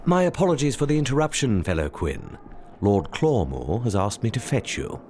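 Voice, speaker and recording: A man's voice: medium pitch, big pitch swings, moderate pace, darkish tone, slight background noise, natural voice. A man playing a cold, serious and haughty financial agent in a gothic audio drama, curt and formal. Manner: curt, severe, formally clipped.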